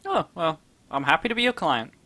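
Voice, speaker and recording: A man's voice: on the high side, very animated, fairly quick, sharp tone. A man giving casual, amused and teasing reaction commentary as he hosts a gaming video. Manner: amused, teasing, laughs often. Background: game music.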